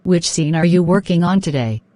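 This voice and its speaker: Woman playing a polite, lower-voiced cartoon interviewer. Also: lively intonation, moderate pace, dark tone, no echo, lightly acted. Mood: polite, interested. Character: polite, eager young woman interviewer and friend. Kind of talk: scripted English-learning dialogue.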